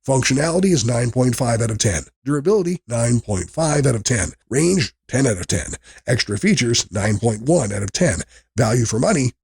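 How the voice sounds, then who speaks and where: A man narrating a scripted product review in a measured, explanatory way. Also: mid-range voice, gravelly, darkish tone, slightly rough.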